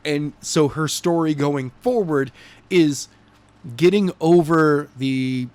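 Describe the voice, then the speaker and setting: A man with a slightly high-pitched voice talks casually as a podcast co-host. He discusses a story in an animated, conversational way, like someone retelling it to a friend. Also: slow.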